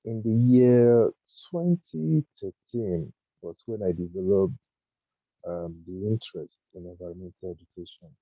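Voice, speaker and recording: A man in his thirties speaks earnestly, with a croaky voice and many hesitant pauses. His voice sits very low. The call sounds thin and tinny, like a phone line with no room around it. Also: no echo. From Nigeria. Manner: earnest, thoughtful, halting with fillers. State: croaky, just woken early. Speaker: guest speaker. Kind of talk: online panel talk, personal story. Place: home, early morning.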